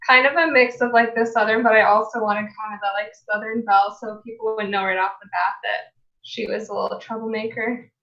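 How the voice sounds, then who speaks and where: A woman with a fairly high voice chats casually as a guest voice actor. The recording is a bit dull and lo-fi. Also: fairly even intonation, brisk, fairly bright tone. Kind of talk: casual chat. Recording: dull, lo-fi call audio.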